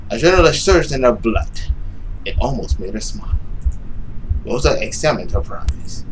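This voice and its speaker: A man's voice: mid-range voice, background noise. A young man reading a visual novel aloud as dramatic narration, sometimes tripping over words and rereading them. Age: young adult. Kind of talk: dramatic read-aloud of game text.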